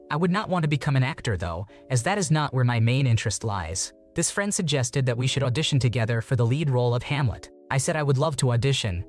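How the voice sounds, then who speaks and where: A man narrating online stories in a mid-pitched voice that moves along quickly. Music plays softly behind him throughout. His delivery is even and practiced, with short comments between the stories. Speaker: narrator reading online posts. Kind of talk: scripted narration of forum stories with brief comments. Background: background music.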